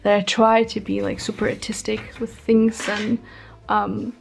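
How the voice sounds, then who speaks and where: A young woman in her twenties, chatting casually and rambling with many ums as she tours her home art workspace, her voice a bit hoarse from being ill. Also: mid-range voice, level tone, unhurried, a little hiss or hum, poor audio. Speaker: artist vlogger. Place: small room at home.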